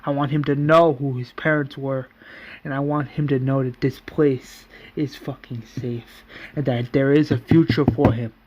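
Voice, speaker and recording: A man voicing a grieving young mother in a zombie apocalypse town, halting and imploring as he repeats himself. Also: mid-range voice, steady tone, a little mellow, highs cut off, slight background noise, natural voice. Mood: pleading, tearful.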